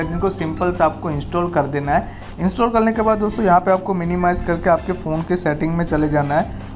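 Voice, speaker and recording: Young man presenting over music. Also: on the high side. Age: young adult. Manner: repeatedly addresses viewers as friends, mixes in English words. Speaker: tech tutorial YouTube host. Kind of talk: tutorial presentation to camera and screen walkthrough. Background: background music.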